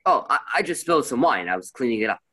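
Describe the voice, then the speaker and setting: A performer playing a defensive male murder suspect with a husky voice in a radio mystery play. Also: mid-range voice, very animated, fast talker, pushed and bright, dry recording, studio quality, full character voice.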